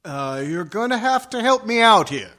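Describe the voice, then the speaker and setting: An actor playing an earnest interview host who questions a guest, in a comic radio play. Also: male voice, sits high, very animated, moderate pace, sharp tone, heavily acted. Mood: bemused. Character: earnest male interview host, increasingly baffled.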